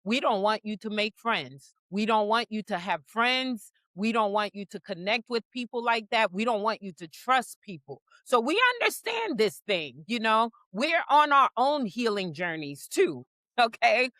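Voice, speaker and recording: A woman co-hosting a faith-based talk show, explaining her points in a measured way. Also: a little high, lively intonation, fairly bright tone, no room sound, studio quality. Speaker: co-host. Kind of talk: casual faith-based discussion.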